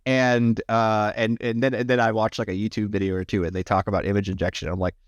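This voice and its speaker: A man chatting casually to his livestream viewers, rambling with many restarts and sounding slightly hoarse after hours of talking. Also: on the low side, flat delivery, quick pace, no room sound. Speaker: livestreamer and AI art tutorial maker.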